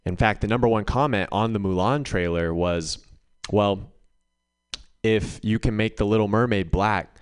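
A man's voice: lowish voice, moderate pace, clean tone. A man performing a live stand-up set in a conversational, storytelling way. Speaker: stand-up comedian.

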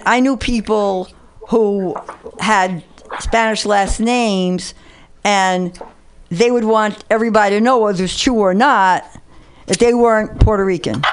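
A woman from the Bronx hosts in a casual, conversational style. Her voice sits at a medium pitch, recorded with faint background noise and clicks. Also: measured pace. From New York, the Bronx. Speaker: interview host.

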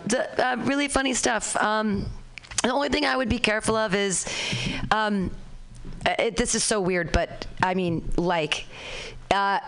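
Woman host/emcee, halting and chatty, lots of ums and restarts. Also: mid-range voice, fairly even intonation, fairly bright tone, poor audio. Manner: hesitant, many fillers and restarts. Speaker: show host and comedy showcase emcee. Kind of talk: casual feedback chat.

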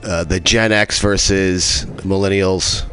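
A man's voice: sits low, steady tone, slow, a bit bright, faint music behind. A man, a comedian guest on a radio show, chatting in a laid-back way with dry quips. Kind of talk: casual radio chat. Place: community radio studio.

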